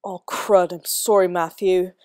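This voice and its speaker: A woman's voice: on the low side, fairly even intonation, measured pace, darkish tone, clear, resonant tone, no echo, clean studio sound, lightly acted. A woman doing an exasperated fussy young man. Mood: apologetic. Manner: scolding, flustered. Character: exasperated young man fussing over children.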